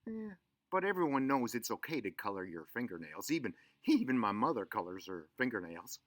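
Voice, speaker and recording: A man's voice: fairly low pitch, big pitch swings, a little fast, fairly bright tone, no room sound. Man voicing a whiny, headstrong little storybook girl. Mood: bored, defiant. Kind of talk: children's storybook character voice.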